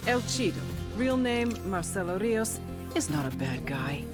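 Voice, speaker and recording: A woman's voice: mid-range voice, big pitch swings, measured pace. An actress playing a cynical, wisecracking female agent, narrating in a dry, sardonic and crude way like a briefing. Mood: wry, brash. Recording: voice over music.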